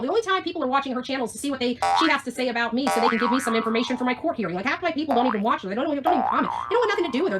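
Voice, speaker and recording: Combative fast-talking woman over loud music. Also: sits high. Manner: combative, sarcastic, foul-mouthed. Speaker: livestream host. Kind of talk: ranting livestream chat.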